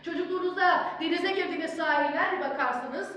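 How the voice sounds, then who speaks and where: A woman's voice: extremely high pitch, a little fast. A woman delivering theatrical lines in rehearsal or on stage. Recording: very echoey room, loud music.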